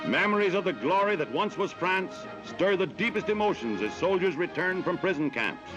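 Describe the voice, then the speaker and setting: Male newsreel narrator, American, 1940s style. Dramatic and animated, dull old film-soundtrack sound, orchestral score underneath. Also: fairly high pitch. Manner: dramatic, declamatory delivery. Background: loud orchestral music.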